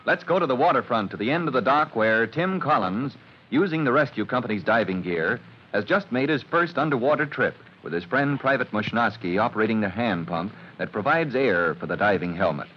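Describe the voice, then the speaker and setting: A man's voice: mid-range voice, expressive, brisk, natural voice. A man announcing an old-time radio adventure serial in a theatrical, urgent style. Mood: dramatic, urgent. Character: male announcer of a children's firefighter adventure serial. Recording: dull, hissy vintage radio recording.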